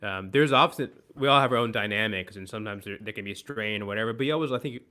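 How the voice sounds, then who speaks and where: A young man hosting a video call interview, asking friendly, halting questions with fillers from a room at home. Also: fairly low pitch, bright, forceful tone. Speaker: host. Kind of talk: interviewing a guest.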